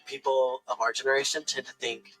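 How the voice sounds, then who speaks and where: Young man with a bright voice. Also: medium pitch, level tone, fairly quick, faint music behind, poor audio. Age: 20s. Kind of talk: interview testimonial.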